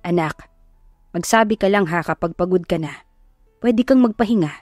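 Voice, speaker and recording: A woman voicing a gentle, concerned middle-aged father in an audio drama, caring and softly questioning. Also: on the low side, moderate pace, no echo, soft background music, natural voice. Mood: tender.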